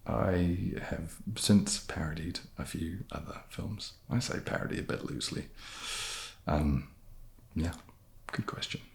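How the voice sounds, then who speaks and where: A man answering questions in a soft-spoken, casual, laid-back way with dry humour. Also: low-pitched, unhurried, vocal fry. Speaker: ASMR video creator answering fan questions.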